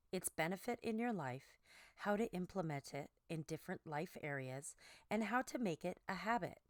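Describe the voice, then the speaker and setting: A woman reading a book aloud as scripted narration, with measured, deliberate phrasing. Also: on the low side, no echo, studio quality.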